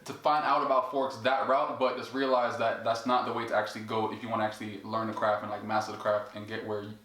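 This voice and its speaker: A man's voice: lowish voice, flat delivery, fast talker, a bit bright. Casual filler-heavy male host. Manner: casual, filler-heavy, lots of "like" and "you know". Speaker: trading coach and host. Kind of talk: casual interview chat. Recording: echoey room.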